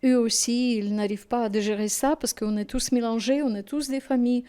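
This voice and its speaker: A woman from Ukraine with a Ukrainian accent, a native Russian speaker, answering in a television interview; she speaks emotionally and haltingly, searching for words, exhausted and sleepless. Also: a little high, fairly even intonation, mellow, smooth. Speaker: studio guest.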